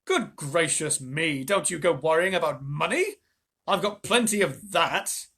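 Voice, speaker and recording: A man voicing an excitable, eccentric chocolate maker in a storybook reading, with brisk, sing-song exclamations. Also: fairly high pitch, gravelly, husky, full character voice. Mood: delighted.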